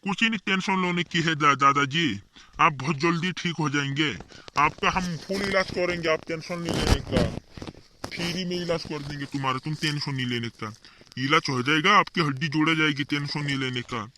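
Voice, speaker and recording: Cartoon doctor, played by a man: brisk and colloquial, a touch high in pitch, reassures over and over with a scolding edge. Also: pushed and bright, slight background noise, poor audio. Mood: reassuring. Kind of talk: amateur regional comedy cartoon dubbing.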